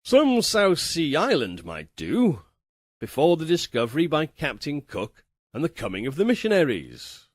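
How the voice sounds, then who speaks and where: A man's voice: fairly high pitch, huge pitch swings, normal speed, dry recording, studio quality. A man voicing a world-weary American poet in a storybook reading, wry, sardonic and formal. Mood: musing.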